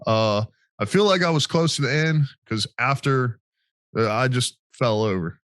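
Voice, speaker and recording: A man's voice: medium pitch, measured pace, a bit bright, no room sound, clean studio sound. An American man hosting a veterans' podcast in casual, sweary, storytelling chat. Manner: informal, sweary. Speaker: podcast host, military veteran.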